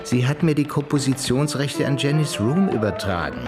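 A man's voice: medium pitch, lively intonation, moderate pace, lightly acted. Actor as curt, haughty businessman over music. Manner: brusque, formal. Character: busy, curt, haughty male theatre businessman. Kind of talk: German radio play dialogue.

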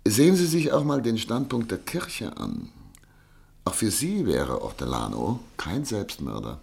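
A stern public prosecutor in a radio drama. His low voice swings widely as he argues, formal and emphatic, never yielding a point. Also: huge pitch swings, unhurried, gravelly, darkish tone, heavily acted. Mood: assured, insistent. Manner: rhetorical, emphatic, formal legal argument. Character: male public prosecutor, eloquent, unyielding, stern and argumentative. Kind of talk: German radio play acting.

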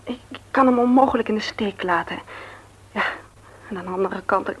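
An actress playing a gentle, tactful woman who is reluctant in love, in a radio drama. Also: medium pitch, fairly quick, breathy edge, close to an everyday voice. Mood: careful, regretful. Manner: hesitant, tactful, careful phrasing. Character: gentle, reluctant woman turning down her suitor. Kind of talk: intimate radio drama dialogue.

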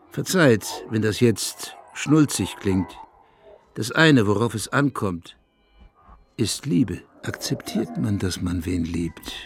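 An actor playing a wistful, bitter man in a first-person radio drama, speaking in a measured, intimate way. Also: mid-range voice. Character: wistful man recalling a lost idol, brooding and bitter.

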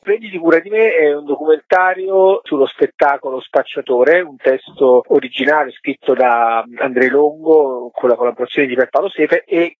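A man's voice: on the high side, normal speed, hardly any pauses, dry recording. A man speaking as a guest director in a radio interview, presenting his work. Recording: tinny phone line.

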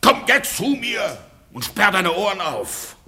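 A man's voice: sits high, sing-song, unhurried, pushed and bright, breathy edge, heavily acted. A man playing a jealous rich farmer husband in a radio play, blustering, exasperated and given to muttered threats. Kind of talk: German radio play acting.

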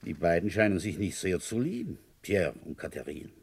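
An actor playing a shrewd, quietly ambitious rural police inspector in a German radio crime drama, questioning in a pointed, probing way. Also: male voice, deep voice, sing-song, normal speed, vocal fry, husky, heavily acted. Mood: shrewd, suspicious.

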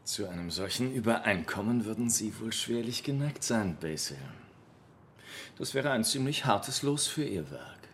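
A suave, worldly aristocrat in a radio drama. His voice is fairly low. He is flippant and nonchalant, with urbane, teasing phrasing. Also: animated, normal speed, a bit bright, a little hiss or hum. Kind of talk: German radio play acting.

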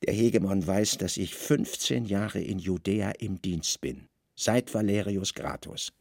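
Guy doing a grave deadpan roman spy chief, calm n calculating, radio play, gravelly, super dry sound. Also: low voice, a little slow, no echo, voice pushed down, heavily acted. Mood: matter-of-fact. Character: grave, calculating Roman secret service chief, loyal man. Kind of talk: literary radio drama acting.

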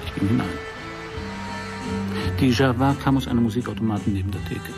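An actor playing a world-weary, hard-up private detective who narrates a crime radio play in a laconic, brooding way with a gravelly voice. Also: male voice, medium pitch, a little fast, close to an everyday voice. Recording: studio radio play with music behind.

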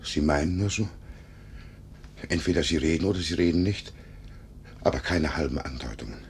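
An actor playing a lonely, self-pitying paralysed gentleman of seventy-two in a 1950s German radio drama, querulous and plaintive. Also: moderate pace. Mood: impatient. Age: older. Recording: noisy, rumbling 1950s radio recording.

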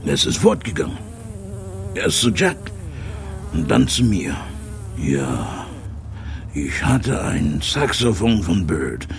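Gravelly, broken old jazz musician. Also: male voice, sits low, huge pitch swings, slow pace, long pauses, slight background noise, heavily acted. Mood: nostalgic. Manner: rambling, brooding, repeats himself, erupts. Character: bitter, broken old jazz trumpeter, haunted and volatile. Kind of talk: German radio drama acting.

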